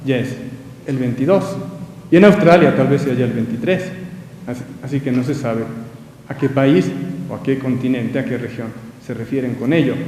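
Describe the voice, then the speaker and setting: A man with a Mexican accent, a priest giving a measured public lecture with rhetorical questions. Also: mid-range voice, dark tone, slight background noise. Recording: echoey hall with long reverb.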